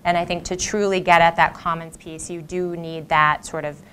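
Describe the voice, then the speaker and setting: A woman panelist in measured, collaborative tones adds a point to the discussion. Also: fairly low pitch, flat delivery, fairly bright tone, slight background noise.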